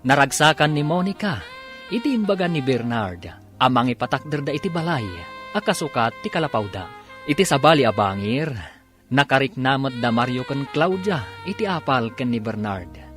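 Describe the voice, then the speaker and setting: Ilocano guy doing radio drama narration, swoopy animated delivery, music behind. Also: mid-range voice, normal speed. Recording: music under the voice.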